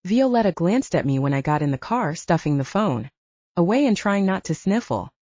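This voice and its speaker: Husky woman reading an audiobook, dark mellow tone, kinda muffled lo-fi recording. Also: on the low side, very animated, normal speed, no echo. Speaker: audiobook narrator. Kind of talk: scripted first-person fiction narration with character dialogue.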